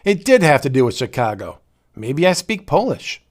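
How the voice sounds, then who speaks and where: A man's voice: fairly high pitch, very animated, normal speed. A man hosting a podcast, reading scripted narration with dry, playful humor. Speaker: podcast host.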